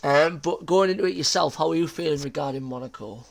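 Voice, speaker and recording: A man's voice: fairly high pitch, quick pace, vocal fry. A young man hosting post-race interviews on a racing league stream, chatty and jokey. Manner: chatty, laughs a lot, jokey. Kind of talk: casual live-stream interview chat.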